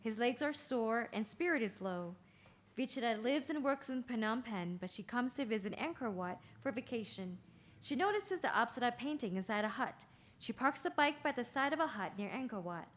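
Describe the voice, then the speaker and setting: A woman's voice: fairly high pitch, animated, normal speed. A woman reading stage directions as narrator at a play's table read, in scripted narration.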